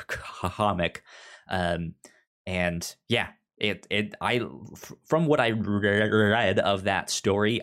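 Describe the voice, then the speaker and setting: A man's voice: sits low, vocal fry, a bit bright. A man hosting a podcast in casual chat, stuttering as he repeats sounds and syllables. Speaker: podcast host.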